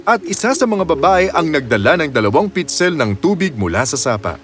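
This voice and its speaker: Male storyteller, high pitch, lively swooping intonation, dramatic scripted children's narration, light music. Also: brisk.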